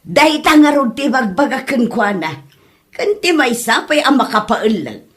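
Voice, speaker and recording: A woman's voice: sits high, very animated, a little slow, fairly bright tone, breathy edge, exaggerated voice. A woman with an Ilocano Filipino accent playing a mocking, giggly mother and auntie in a comedy drama, exclaiming and laughing through her words. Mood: gleeful teasing.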